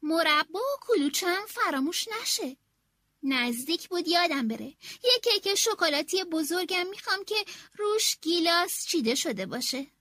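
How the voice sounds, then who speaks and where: Bossy queen bee with a shrill, lively voice, rude and demanding; a woman performs her. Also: dry recording, clean studio sound, exaggerated voice. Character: spoiled bossy queen bee, female, quick-tempered.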